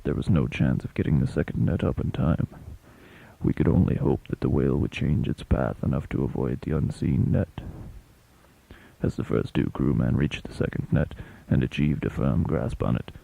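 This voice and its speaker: A man narrating a dramatic first-person sea story as a shipwrecked fishing captain, intense, theatrical and deliberate, with a gravelly, husky voice. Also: rumbling low, dark tone, lo-fi sound. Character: shipwrecked fishing captain telling his tale.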